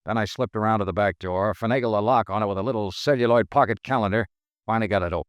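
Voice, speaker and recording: An actor as a wry hard-boiled detective. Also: male voice, on the low side, racing, dry recording, muffled recording, natural voice. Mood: laconic. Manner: dry, laconic, wry narration. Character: wry hard-boiled insurance investigator narrating. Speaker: detective narrator. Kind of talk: 1950s radio drama acting.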